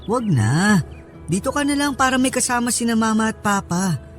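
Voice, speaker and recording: A sweet young husband, high voice, casual and coaxing, radio drama with music behind. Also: male voice, big pitch swings, moderate pace, fairly bright tone. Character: Peter, affectionate, reassuring young husband.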